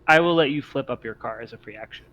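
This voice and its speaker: A man's voice: mid-range voice, quick pace, a bit bright. A man refereeing a tabletop game as game master, thinking aloud and accommodating as he improvises rulings. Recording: muffled mic with noticeable background noise.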